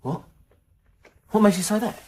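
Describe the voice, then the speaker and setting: A man's voice: high voice, unhurried, warm tone, slight background noise. A man playing an eager, cocky young small-time crook in a naturalistic radio drama, keen to reassure and persuade. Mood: defensive, reassuring.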